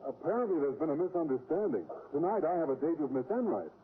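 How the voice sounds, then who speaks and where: An actor playing a naive, mild-mannered schoolteacher, earnest and innocent, in an old radio sitcom. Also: male voice, on the high side, big pitch swings, fast. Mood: earnest explaining. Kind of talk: 1940s-50s radio sitcom acting. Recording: tinny vintage radio with faint noise.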